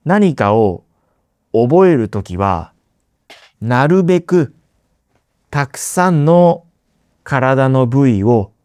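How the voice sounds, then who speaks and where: A man hosting a slow podcast lesson for Japanese learners, explaining words in simple terms with playful asides. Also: mid-range voice, expressive, a little mellow, smooth, dry recording. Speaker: Japanese language teacher.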